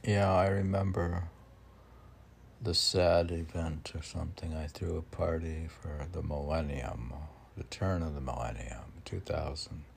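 Very low, gravelly man reading his poems. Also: fairly even intonation, a little hiss or hum. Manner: self-deprecating, halting, talks back to himself. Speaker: poet reading and commenting on own poems. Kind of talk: poem reading mixed with rambling self-commentary.

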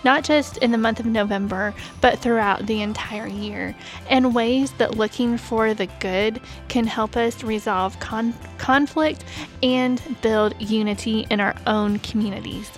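A woman with a slightly high voice hosts a podcast, reading her introduction smoothly and at a measured pace before turning to questions. Faint music sits softly under her voice. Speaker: podcast host. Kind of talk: scripted intro then interviewing.

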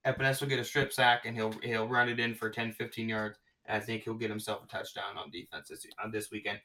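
A man hosting a casual sports podcast, talking excitedly and gushing about his predictions, often restarting his sentences. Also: fairly low pitch, fast talker, far from the mic. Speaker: podcast host.

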